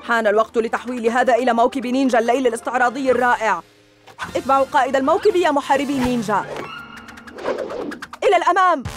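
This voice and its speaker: A woman's voice: high-pitched, rapid-fire, long pauses, natural voice. A woman voicing a gloating ninja villain. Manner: gloating, commanding. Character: boastful bossy young ninja villain. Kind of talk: Arabic cartoon dub. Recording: studio dub with loud music.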